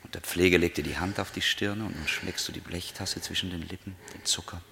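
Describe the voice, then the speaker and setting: An actor playing a haunting, relentless inner voice in a radio drama, gravelly, solemn and poetic in delivery. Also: male voice, low-pitched, fairly even intonation, noisy background. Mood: hushed, ominous. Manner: solemn, deliberate, poetic declamation. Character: haunting male inner voice of resistance, relentless, intimate, menacing.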